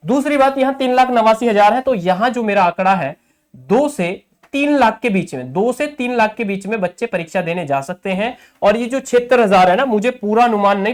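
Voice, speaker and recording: A male coaching teacher walks students through an exam-prep lesson. He repeats each key figure and keeps checking that listeners are following. He talks to his viewers in a familiar way, calling them brothers. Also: high-pitched.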